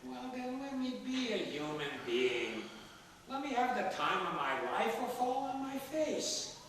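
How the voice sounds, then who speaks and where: A man's voice: very high voice, big pitch swings, measured pace, exaggerated voice. An older male actor playing a feisty, wisecracking elderly father in a comedic stage play, emphatic and sardonic. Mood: defiant. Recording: echoey theater stage, distant mic, faint noise.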